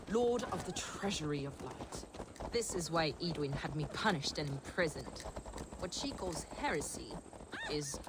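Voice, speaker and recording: A devout, scholarly heretic woman from a video game drama. She speaks in measured, fervent phrases as if preaching secret doctrine. Clear ambient noise runs behind her voice. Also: sits low.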